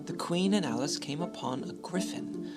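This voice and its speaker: A woman's voice: deep voice, normal speed, lightly acted. A woman reading scripted narration as the storyteller of a storybook radio play. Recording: loud music under the voice.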